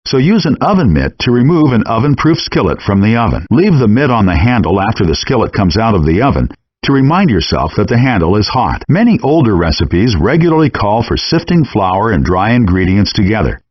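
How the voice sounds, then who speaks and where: A man's voice: lowish voice, very animated, fairly quick, no echo. A man voicing a chef instructor in a cooking video game, reading scripted explanatory instructions in muffled, compressed game audio. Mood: instructive.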